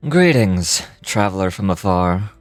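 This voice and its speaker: An imposing fantasy commander speaks in a fairly low voice. He is calm and formal, with measured, courteous delivery suited to scripted game dialogue. Music plays faintly behind him. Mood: solemn greeting.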